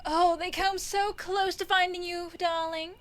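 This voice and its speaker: A woman's voice: very high-pitched, little pitch movement, measured pace. A woman playing a clingy, possessive rich young woman in an audio roleplay, theatrical and cooing with sweet menace. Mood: sweetly possessive. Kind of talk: yandere ASMR audio roleplay.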